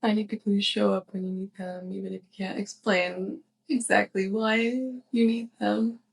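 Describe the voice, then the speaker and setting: A woman playing a sweet, clumsy young witch neighbor in an intimate ASMR roleplay, speaking softly and hesitantly with shy affection. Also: medium pitch, fairly even intonation, moderate pace. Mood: tender, sincere. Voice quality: soft, breathy. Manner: hesitant, giggly rambling.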